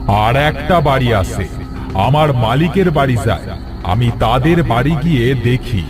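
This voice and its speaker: A man voicing a menacing, bloodthirsty old tree monster in a cartoon fairy tale, with drawn-out dramatic delivery. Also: medium pitch, expressive, over music, close to an everyday voice. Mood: brooding, thirsty.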